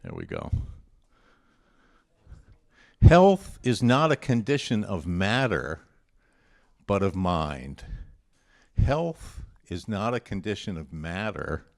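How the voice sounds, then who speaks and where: A man with a fairly low voice hosts a guessing quiz for a group. He reads quotations out deliberately and adds informal, conversational commentary as he goes. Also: sing-song, gravelly. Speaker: presenter of a quote guessing quiz.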